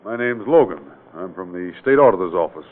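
An actor playing a calm, courteous state auditor who probes with measured, businesslike questions in an old radio drama. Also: male voice, deep voice. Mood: probing. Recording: tinny band-limited vintage radio recording.

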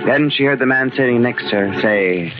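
A man narrating a mystery radio drama in a measured, portentous storytelling style. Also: mid-range voice, close to an everyday voice. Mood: solemn, suspenseful. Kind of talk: dramatic scripted 1940s-50s radio narration. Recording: muffled old radio recording, loud music. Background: loud music.

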